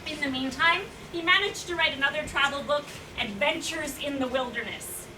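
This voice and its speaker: A woman's voice: high voice, normal speed, close to an everyday voice. A woman playing an eager, courteous presenter in a staged reading, speaking in a formal, declamatory way. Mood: solemn, reverent. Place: indoor room at a staged reading. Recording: roomy, distant mic, noisy live hall recording.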